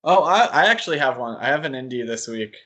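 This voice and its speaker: A man's voice: mid-range voice, expressive, quick pace, pushed and bright. A man hosting a podcast in a casual, conversational chat style. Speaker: podcast host.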